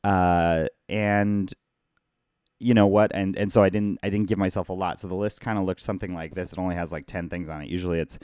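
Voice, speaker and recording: A hoarse, tired man hosting a casual live-streamed podcast from his phone, rambling and chatty as he thanks viewers by name. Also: low voice, fairly even intonation, fairly quick, darkish tone, no echo, clean studio sound. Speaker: podcast host.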